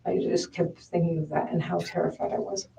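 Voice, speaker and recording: Gravelly older woman under police questioning. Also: lowish voice, level tone, brisk, mellow. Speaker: suspect being questioned by police. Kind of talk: answering in a police interrogation. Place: police interview room. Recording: noisy echoey interview room, distant mic.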